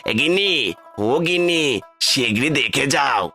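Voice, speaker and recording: A man's voice: mid-range voice, expressive, unhurried, gravelly, loud music behind the voice. A man playing a hopeful village husband in a cartoon fairy tale, exclamatory and theatrical. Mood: excited. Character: excitable, hopeful poor village husband and fish farmer. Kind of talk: cartoon storybook acting.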